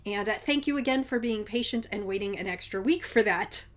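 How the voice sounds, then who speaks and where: A woman's voice: mid-range voice, a little fast. A woman hosting her own show in a casual chat to camera, slightly congested from a cold and chatty, with frequent ums. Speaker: podcast host.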